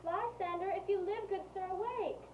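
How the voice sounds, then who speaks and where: A woman's voice: very high voice, moderate pace. A woman playing a lovesick, pleading young woman in Shakespearean verse, earnest and plaintive. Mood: anxious. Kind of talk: Shakespearean verse stage acting. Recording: faint background noise.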